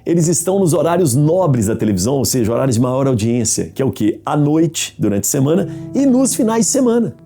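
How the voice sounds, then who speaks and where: Brazilian guy explaining stuff, very mellow tone but lively pitch, soft music behind. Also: fairly quick, few pauses. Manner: poses questions and answers them. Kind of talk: explanatory interview commentary.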